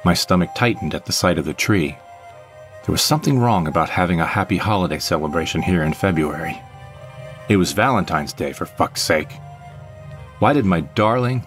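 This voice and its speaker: A man's voice: low-pitched, natural voice. A man playing a brooding, vengeful jilted ex-husband, narrating an audio drama in the first person with melodramatic deliberation. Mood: bitter, bewildered.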